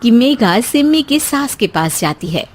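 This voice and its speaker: A woman's voice: on the high side, very animated, moderate pace. A woman giving scripted narration as the narrator of a family story.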